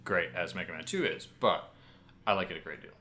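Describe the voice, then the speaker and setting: A man's voice: deep voice, lively intonation, fairly quick, bright, forceful tone, a little hiss or hum. A man co-hosting a casual video game podcast, thinking aloud in a hesitant, rambling way. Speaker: podcast co-host.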